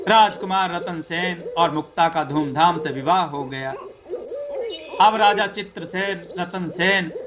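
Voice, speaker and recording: High-voiced man narrating a folk tale. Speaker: storyteller.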